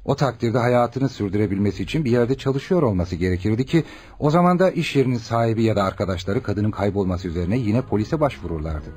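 Mellow, husky earnest junior doctor. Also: male voice, lowish voice, animated, fast talker. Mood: earnest, indignant. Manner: emphatic, explanatory. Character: earnest male junior doctor, deferential, reasoning keenly. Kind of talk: radio drama acting.

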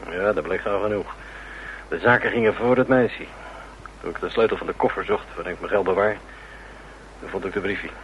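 A man playing a brash, cynical band musician in a radio drama, talking in a gruff, slangy, dismissive way. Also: deep voice, a little fast, long pauses, lightly acted. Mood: offhand. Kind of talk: Dutch radio drama acting. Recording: muffled, noisy old radio drama recording.

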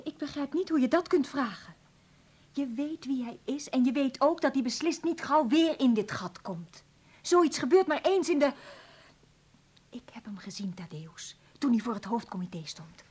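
A woman's voice: high voice, expressive, normal speed, darkish tone, husky. An actress playing an insistent, frustrated woman in a radio play, urgent and reproachful with her partner. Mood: urgent, pleading. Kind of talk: Dutch radio play acting.

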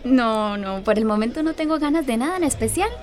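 A sweet young mother-to-be played by a woman, high and lively in pitch. She is a polite, grateful daughter in a radio soap. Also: a little fast, natural voice. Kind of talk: radio drama acting.

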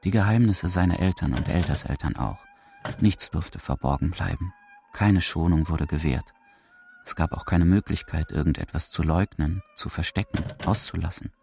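A man with a fairly low voice reads dramatic literary prose for radio. His delivery is measured, precise and theatrical, over a faint layer of noise and clicks. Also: creaky, a little mellow. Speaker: narrator.

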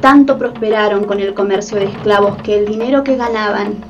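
A woman's voice: medium pitch, brisk, recorded from a distance, highs cut off. A woman reading scripted narration for a radio play. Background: music.

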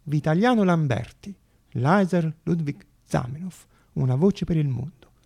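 Gravelly male stage storyteller, raspy and mellow. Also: a little high, animated. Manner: dramatic delivery with deliberate pauses. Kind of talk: theatrical monologue storytelling.

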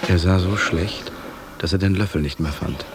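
A man playing a devoted attendant in a radio drama, narrating in a measured, formal and deferential manner. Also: sits low, background noise. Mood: sober, reporting. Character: devoted, sober male attendant recounting his master's decline.

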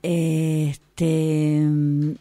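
A woman's voice: low voice, monotone, extremely slow, warm tone, no echo. A woman playing a nervous estate agent who stammers and fills with "este", in a workshop radio drama. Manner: stammering, hesitant, many fillers.

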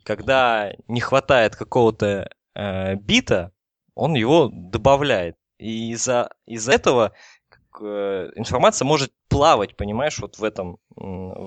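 A man's voice: lowish voice, unhurried, no echo. A man explaining technical material in a casual podcast discussion, hesitant and thinking aloud as he goes. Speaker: technical expert explaining telecom topics.

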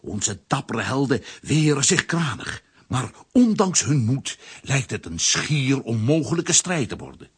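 A man narrating a children's adventure audio drama in a theatrical storytelling manner, with a husky, rough voice. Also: medium pitch, big pitch swings, a little slow, exaggerated voice.

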